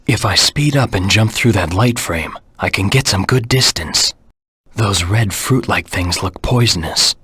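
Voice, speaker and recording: A cold, determined hedgehog anti-hero from a video game. The voice is low and heavily rasped, recorded dry and close. He talks tersely and seriously, as if weighing each move to himself. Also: expressive, sharp tone, no room sound, full character voice. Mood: wary, focused. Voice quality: husky, gravelly. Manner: terse, serious, deliberate self-talk. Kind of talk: video game character voice lines.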